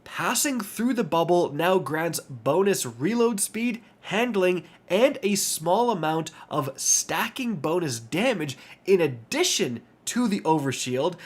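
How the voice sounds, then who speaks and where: A man's voice: on the high side, breathy edge. A man with a nasal, stuffed-up voice giving game update commentary as a video host, talking straight to his viewers. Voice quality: nasal, congested. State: congested with a stuffy nose. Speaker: gaming video creator and host.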